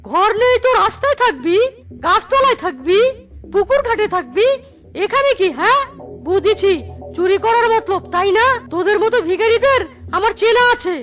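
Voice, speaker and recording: A woman voicing a mean male hotel owner in a children's cartoon, loud, scornful and hectoring. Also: very high voice, animated, moderate pace, soft background music. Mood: angry, contemptuous.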